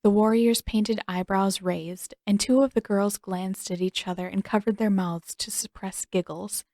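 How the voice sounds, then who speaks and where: A woman narrates fiction as an audiobook reader. Her voice has a middling pitch and the sound is dry and close, with hardly any echo. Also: steady tone, normal speed, mellow. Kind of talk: scripted story narration.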